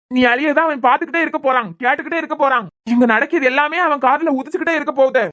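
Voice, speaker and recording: A woman's voice: sits high, fairly bright tone. A woman voicing a bitter, hurt young husband, sarcastic and repeating words in anger. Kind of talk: amateur audio drama.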